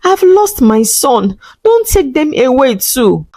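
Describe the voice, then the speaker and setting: A woman's voice: sits high, big pitch swings, takes it slowly, a little mellow, no room sound, studio quality, voice pushed up, full character voice. A woman playing a proud, deceitful older mother-in-law in a narrated story, imploring and indignant. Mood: tearful pleading.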